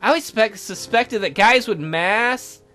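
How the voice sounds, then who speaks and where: A woman's voice: medium pitch, lively intonation, a little slow, a bit bright, faint music behind. A woman voicing an upbeat, teasing young female classmate in a visual novel game, breezy and casual. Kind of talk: visual novel character dialogue.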